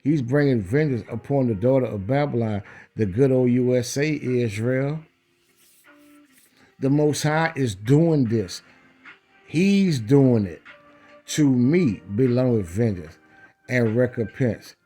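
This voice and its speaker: A man's voice: medium pitch, expressive, slow, long pauses, mellow, soft background music. A man preaching in a scripture read-along, emphatic, repeating phrases and checking in with rhetorical questions.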